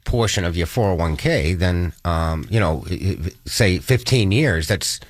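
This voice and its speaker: Deep-voiced middle-aged guy laying out retirement math step by step, dry close mic. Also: lively intonation, a little slow, dry recording. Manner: explanatory, builds examples step by step. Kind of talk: casual radio chat.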